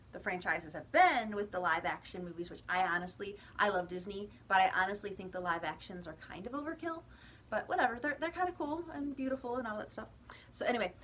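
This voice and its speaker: A woman's voice: a little high, a bit bright. An American woman vlogger giving a casual opinion monologue to viewers, chatty and emphatic with exasperated asides.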